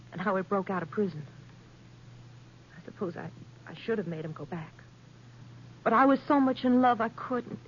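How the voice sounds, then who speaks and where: An actress playing an anxious, devoted young rancher's wife in a 1950s radio western, earnest and faltering with emotion. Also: female voice, medium pitch, lightly acted. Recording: dull, noisy 1950s radio recording.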